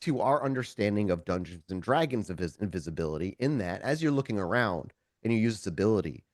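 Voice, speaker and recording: A man's voice: on the low side, animated, fast talker, dry recording, clean studio sound. A man narrating a tabletop roleplaying game as game master, conversational and informal, with descriptive storytelling.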